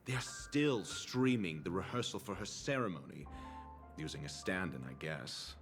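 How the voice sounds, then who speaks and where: Game doctor: low, unhurried, haughty and deadpan, light music behind. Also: male voice. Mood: disdainful. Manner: pompous, measured, deadpan. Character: haughty, sardonic scholarly doctor. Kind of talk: video game voice acting.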